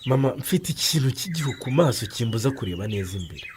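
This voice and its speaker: An actor playing a husband with failing eyesight, exclaiming and fretting. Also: male voice, mid-range voice, quick pace, background noise, close to an everyday voice. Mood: worried. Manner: animated, exclamatory. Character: injured husband with failing eyesight, worried but stubborn.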